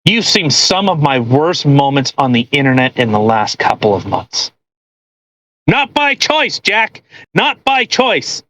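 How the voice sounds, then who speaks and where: Podcast co-host, mid-range pitch, crude and mocking, swears freely while reading aloud and commenting casually. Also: sing-song, measured pace, fairly bright tone, poor audio.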